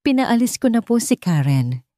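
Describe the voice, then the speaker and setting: A woman's voice: a little high, big pitch swings, moderate pace, warm tone, dry recording, clean studio sound, voice pushed up. Woman voicing a restrained, protective young father. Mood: tired, reassuring. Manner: restrained, reassuring, forcing a normal tone. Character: protective, anguished young father hiding the truth. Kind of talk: dramatic audiobook character dialogue.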